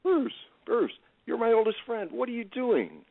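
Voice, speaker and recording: Man acting cornered guilty man, swooping pitch. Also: very animated, moderate pace, vocal fry, no echo, heavily acted. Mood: panicked pleading. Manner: agitated, incredulous, pleading. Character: cornered guilty man, fearful, pleading, defensive. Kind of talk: audio drama acting. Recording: phone-like lo-fi line.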